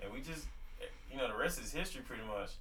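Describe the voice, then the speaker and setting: A man's voice: mid-range voice, animated, quick pace, far from the mic, crowd noise. Young man rambling with fillers, echoey room. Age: 20s. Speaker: podcast co-host. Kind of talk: casual faith-based couple talk.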